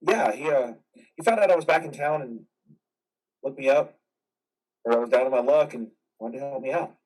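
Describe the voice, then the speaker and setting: A man's voice: mid-range voice, moderate pace, darkish tone, husky, distant mic, lightly acted, rough recording. A man playing a troubled, defiant war veteran in psychiatric care, earnest and naturalistic in a dramatic play reading. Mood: calmer, hopeful.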